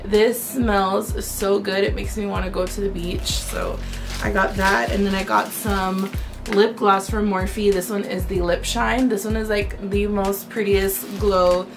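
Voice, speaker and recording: Woman, twenties, hoarse raspy voice, gravelly fry, chatty with lots of fillers, music behind. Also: mid-range voice, level tone, unhurried. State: hoarse from a sore throat. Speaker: beauty vlogger. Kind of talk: casual haul video to camera.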